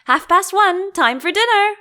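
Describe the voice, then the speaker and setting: A woman's voice: very high-pitched, lively intonation, a little slow, pushed and bright, pitched above the performer's own voice, exaggerated voice. Woman acting an eccentric, theatrical hatter man, swooping delivery. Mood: emphatic, scheming. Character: eccentric male hatter, contemptuous and mournful.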